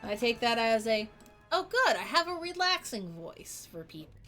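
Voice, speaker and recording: A woman streaming a game, chatting in a relaxed way to her viewers and to the monsters she fights. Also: fairly high pitch, normal speed, soft background music. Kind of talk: casual game stream chat.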